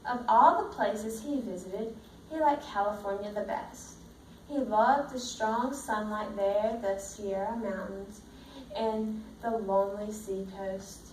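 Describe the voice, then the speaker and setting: A woman's voice: medium pitch, slow. Distant, echoey woman reading to children. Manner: checks understanding with simple questions. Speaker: teacher reading a picture book to children. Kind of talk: read-aloud with questions to young pupils. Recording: roomy, distant mic, faint background noise.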